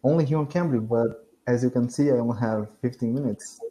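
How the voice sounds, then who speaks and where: A man's voice: medium pitch, a little fast, mellow, poor audio. A young man whose first language is Portuguese, practicing English on a video call, hesitant and correcting himself often, with frequent laughs. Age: young adult. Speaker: English student. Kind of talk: casual conversation practice.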